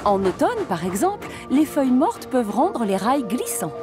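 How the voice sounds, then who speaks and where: A woman's voice: on the high side, big pitch swings, normal speed, loud music behind the voice. A woman reading scripted narration for a children's cartoon story as its narrator.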